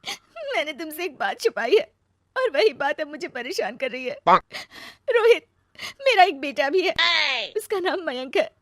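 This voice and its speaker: A woman's voice: sits high, big pitch swings, a little slow, dry recording, clean studio sound, pitched above the performer's own voice. A woman voicing an anguished, guilt-ridden young wife and mother in a plaintive, emotional story delivery. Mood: tearful, guilty.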